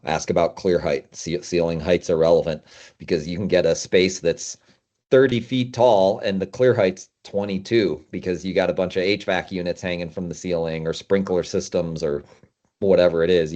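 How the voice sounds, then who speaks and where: A man's voice: sits low, steady tone, brisk, a little mellow. A man hosting a casual interview, talking informally and asking long, rambling questions. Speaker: podcast host, climbing consultant.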